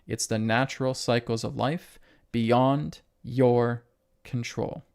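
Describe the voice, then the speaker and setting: A man's voice: mid-range voice, fairly even intonation, takes it slowly, vocal fry, a little mellow, clean tone. A man giving an emphatic spiritual lecture to an online audience, hammering points with repetition and asking "right?" after them. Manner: emphatic, repetitive, asks rhetorical questions and tags "right?". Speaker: spiritual channeler and host.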